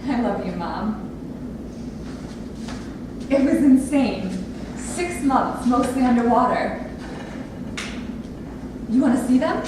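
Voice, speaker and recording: Woman acting an earnest daughter, high, echoey stage. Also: expressive, slow, pauses often. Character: earnest, anxious young woman scientist daughter. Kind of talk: staged play reading. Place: theatre space, recorded from audience distance. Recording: echoey, distant, noisy room recording.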